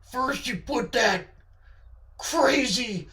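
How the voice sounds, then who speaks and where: Woman putting on a loud, menacing little male creature. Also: fairly high pitch, lively intonation, very slow, distant mic, pitched above the performer's own voice, full character voice. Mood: aggrieved. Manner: accusing, loud and forceful. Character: menacing, suspicious, aggrieved male eye lump.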